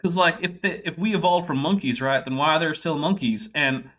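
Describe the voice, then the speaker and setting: A man's voice: a little high, a little fast, fairly bright tone, pitched above the performer's own voice, close to an everyday voice. A man putting on an earnest rural young drawler. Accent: rural West Virginian.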